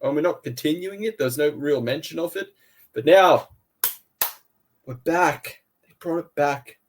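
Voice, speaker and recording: A man's voice: on the high side, unhurried, slight background noise. Enthusiastic Australian man reviewing audio dramas. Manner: enthusiastic, with frequent restarts. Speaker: podcast co-host. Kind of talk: casual review chat.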